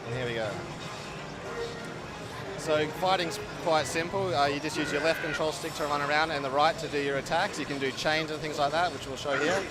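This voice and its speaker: A man's voice: on the high side, steady tone, fairly quick. A man giving a casual, informal live commentary as he demonstrates a game. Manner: informal, easygoing. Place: busy game expo show floor. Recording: loud noisy expo floor background.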